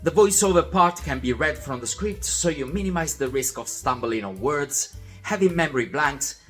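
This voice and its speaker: Lively man giving creator tips. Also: a little high, normal speed, faint music behind. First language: Italian. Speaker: vlog host. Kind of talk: scripted voiceover vlog narration.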